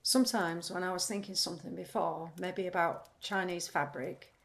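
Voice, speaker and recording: An actress playing a troubled, candid, anxious woman in an audio play, halting as she searches for words. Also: lowish voice, fairly even intonation, vocal fry, slight background noise. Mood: anxious, intense. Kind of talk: audio play acting, confessional monologue.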